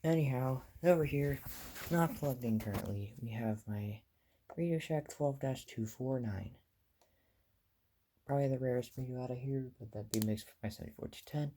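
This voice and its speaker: A man's voice: mid-range voice, normal speed, dark tone, slight background noise. A man giving a casual, rambling show-and-tell as a hobbyist vlogger, offhand and full of detail.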